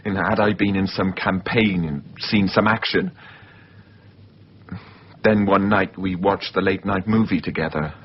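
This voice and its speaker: An actor playing an obsessive, unstable man in a radio drama, speaking haltingly and intensely. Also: low voice, steady tone. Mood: brooding. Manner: halting, repeating words, intense. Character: obsessive, unstable man who confuses films with his own memories. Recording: dull recording with faint background noise.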